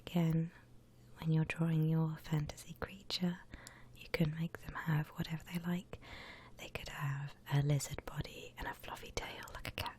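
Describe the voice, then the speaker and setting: A woman whispering gently and breathily as she guides listeners through a drawing, in the style of an ASMR tutorial. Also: sits low, flat delivery. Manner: gentle, unhurried step-by-step explaining. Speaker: host of an art ASMR channel.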